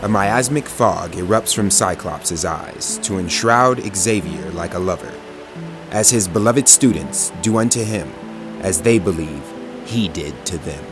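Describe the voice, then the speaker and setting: A man's voice: deep voice, a little slow. A man giving dramatic scripted narration, grave and portentous in delivery. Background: dramatic music.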